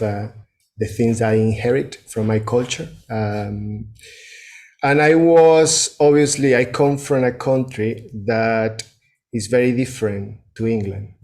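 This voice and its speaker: A man's voice: fairly low pitch, a little mellow. Hesitant Venezuelan man giving a dharma talk. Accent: Venezuelan Spanish. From Venezuela, Andes. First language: Spanish. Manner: hesitant, reflective, often checking in with "yeah". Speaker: Buddhist speaker giving a talk. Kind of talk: Buddhist dharma talk to a group.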